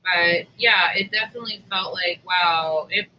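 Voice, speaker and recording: A woman with a sharp, very bright voice pitched slightly low talks casually and candidly, as if venting to a close co-host. Her delivery is informal and unfiltered, with noticeable noise behind the recording. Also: measured pace, poor audio. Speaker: podcast co-host.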